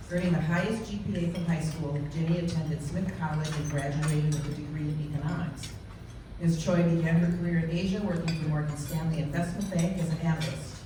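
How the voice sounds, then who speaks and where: A woman reads a formal introduction at a steady pace, reading out a list of credentials. Her voice sits low, and she is heard from a distance. The room is very echoey, with clear noise underneath. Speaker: club member introducing the speaker. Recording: echoey room, recorded from far off.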